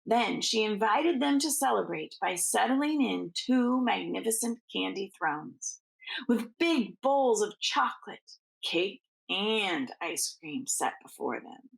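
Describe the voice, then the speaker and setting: Creaky-voiced woman reading a children's storybook. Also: medium pitch, lively intonation, takes it slowly, rough recording. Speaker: teacher reading aloud to students. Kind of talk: children's storybook read-aloud.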